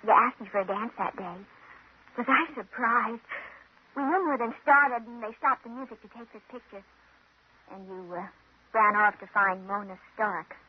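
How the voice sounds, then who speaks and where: A woman's voice: fairly high pitch, normal speed, breathy edge. An actress playing a friendly, wistful young single woman, chatty and a little nervous, in a 1950s radio thriller. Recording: muffled, noisy old radio recording.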